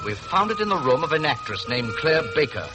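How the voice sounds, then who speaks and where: An actor playing a brisk, authoritative police inspector, clipped and urgent, in a 1940s radio drama. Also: male voice, on the high side, big pitch swings, over music. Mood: grave, urgent.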